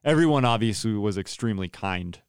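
A man with a naturally low, smooth voice talks through a story in a relaxed interview. He tells it with energy and plays out each line of dialogue. The sound is close and dry. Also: a little fast, no room sound. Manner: animated storyteller, acts out quoted dialogue, laughs often. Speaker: worship pastor and podcast host, interviewed as guest.

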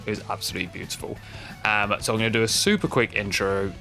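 A tired man hosting a podcast in a chatty, informal, self-deprecating way, his voice slightly croaky. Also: fairly low pitch, fast, sharp tone, soft background music. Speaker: podcast host.

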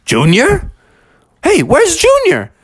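A man's voice: sits high, animated, moderate pace, a bit bright, no echo, clean studio sound, pitched above the performer's own voice. A man voicing a protective father bear in a children's story, urgent and taking charge of his family. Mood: urgent worry. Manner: commanding, urgent.

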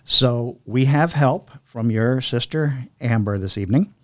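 A man hosting a podcast in a chatty, halting, explanatory way, sometimes reading verse aloud. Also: mid-range voice, dry recording. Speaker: podcast host. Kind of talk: casual podcast talk and poem reading.

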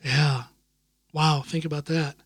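A man hosting a podcast, his voice a bit higher than most. He chats easily, laughs at himself and often restarts his sentences. The recording is close and dry, with no room sound. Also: steady tone, slow pace, slightly rough, no echo. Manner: chatty, self-deprecating, many restarts. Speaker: podcast host. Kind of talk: casual conversation.

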